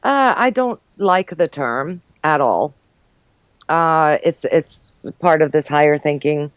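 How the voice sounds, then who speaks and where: A woman's voice: deep voice, expressive, slow, clean tone, dry recording, dull audio. An older woman, born in Uruguay and raised in New Jersey and New York, chatting as an interview guest and telling her story with lots of fillers. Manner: chatty, warm storytelling with frequent fillers and laughs. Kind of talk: casual interview chat.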